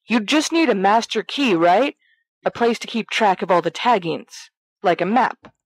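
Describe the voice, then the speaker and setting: A woman voicing a brash, excitable fourteen-year-old schoolboy in an audio fiction reading, teasing and bossy in manner. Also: mid-range voice, moderate pace, husky, no echo, poor audio. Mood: excited.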